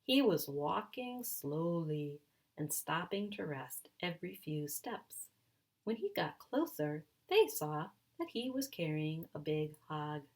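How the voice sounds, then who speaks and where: A woman reading a children's story aloud in an animated, expressive and emphatic way. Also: sits low, slow pace. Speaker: children's story reader, speech and language pathologist.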